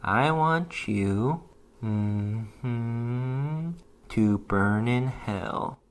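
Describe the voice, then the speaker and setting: A man's voice: medium pitch, drawn-out words, smooth, pitched below the performer's own voice. A man voicing an awkward male summoner in a fantasy story, nervous yet sly, with a hesitant manner. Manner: hesitant, stammering.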